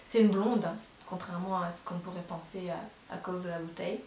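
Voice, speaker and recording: A woman's voice: on the low side, steady tone, fairly quick, darkish tone, echoey room, slight background noise. A woman in her twenties with a Belgian French accent, chatting casually and hesitantly to camera in a vlog, with many fillers. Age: 20s. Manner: chatty, hesitant, full of fillers and self-deprecating laughs. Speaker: vlogger.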